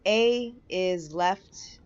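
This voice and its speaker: A woman's voice: lowish voice, very slow, muffled recording, crowd noise. A woman giving a casual, conversational walkthrough of a video game as its host, explaining things as she goes. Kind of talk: casual game tutorial commentary. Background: game music.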